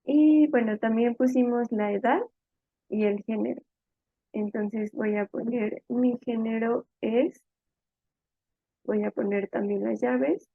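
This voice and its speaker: A woman with a fairly high voice and a creaky edge teaches step by step, talking through each move informally as she works. The sound is narrow and phone-like, with very little room around it. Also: fairly even intonation, slow, pauses often, no echo. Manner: informal explanatory, thinking aloud. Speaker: programming instructor. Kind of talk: step-by-step tutorial narration while typing.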